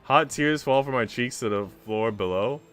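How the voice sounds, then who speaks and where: A man's voice: mid-range voice, moderate pace, a bit bright, smooth. A man livestreaming, talking in an informal, slangy, rambling way as he chats and reads a visual novel aloud. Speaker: streamer. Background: faint game music.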